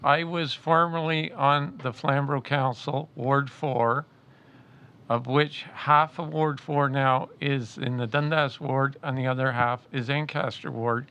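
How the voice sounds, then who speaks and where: Smooth-voiced man in his sixties, plainspoken. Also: mid-range voice, fairly even intonation, measured pace, bright, forceful tone, poor audio. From Canada. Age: 60s. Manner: plain, conversational, with hesitations. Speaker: election candidate. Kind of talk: unscripted self-introduction.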